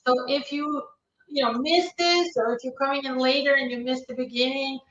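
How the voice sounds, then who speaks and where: A woman from Ukraine hosts a live immigration Q&A, reading out viewer questions and answering them with quick, practical replies. Her voice sits a little high. The stream audio is dull and distant, with a roomy echo around her. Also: fairly even intonation, fairly bright tone. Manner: brisk, matter-of-fact answers with frequent fillers. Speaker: immigration attorney hosting a live Q&A.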